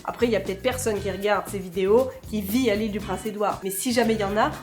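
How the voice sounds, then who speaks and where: A woman's voice: mid-range voice, fairly even intonation, quick pace, soft background music. A young woman hosting a casual vlog, playful and chatty, with joking asides. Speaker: vlogger host.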